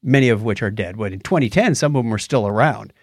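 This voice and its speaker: A man's voice: lowish voice, lively intonation, fast talker, dry recording, clean studio sound. A man co-hosting a podcast, chatting casually about shows and retelling things in a conversational way with quoted asides. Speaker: podcast co-host. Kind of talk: casual chat reviewing TV shows.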